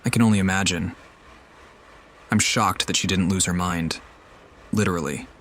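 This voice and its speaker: A man's voice: low-pitched, steady tone, moderate pace, noisy background. A man doing casual gameplay commentary and reading game dialogue aloud. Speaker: video game player. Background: game music.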